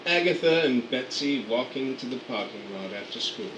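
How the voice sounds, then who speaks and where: A man narrating radio play scene directions in a steady, matter-of-fact way. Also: medium pitch, moderate pace, faint music behind. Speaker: narrator reading stage directions. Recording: roomy, distant mic, air conditioning hum.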